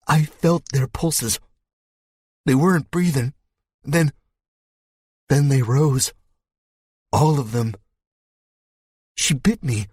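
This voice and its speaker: A man's voice: a little high, husky, dry recording, clean studio sound, voice pushed up, full character voice. Man doing a panicked dying older man. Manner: panicked, strained, broken sentences.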